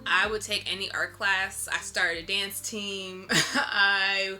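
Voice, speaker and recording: A woman with a bright voice at a middle pitch talks casually in an interview. She is candid and reflective, telling stories in informal language. Faint instrumental music plays behind her. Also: flat delivery, slow pace. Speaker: interviewed artist. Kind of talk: casual interview answers, storytelling.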